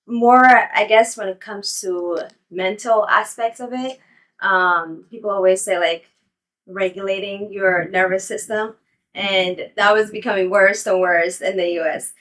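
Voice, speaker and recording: A woman's voice: medium pitch, measured pace. Young woman chatting easily, many ums. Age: young adult. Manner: relaxed, many ums and soft laughs. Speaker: interviewee, English teacher. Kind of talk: casual interview and home tour. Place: apartment building, walking around.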